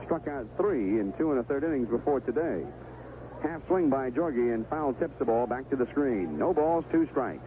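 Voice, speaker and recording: A man's voice: mid-range voice, animated, moderate pace, narrow, tinny audio. A man giving live baseball play-by-play on an old, noisy radio broadcast with stadium ambience behind him. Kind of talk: live radio sports commentary.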